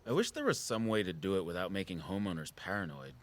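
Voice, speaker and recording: A gentle middle-aged nature conservationist in an audio drama. His voice sits fairly low, and he speaks conversationally, with care and consideration. He comes across as a sentimental, thoughtful dad. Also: sing-song, quick pace. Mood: thoughtful, cautious.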